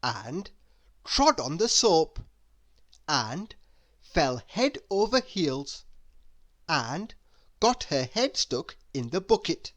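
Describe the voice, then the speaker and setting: A woman reading a children's story aloud in an expressive narrating style. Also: sits low, very slow, long pauses. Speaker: storybook reader.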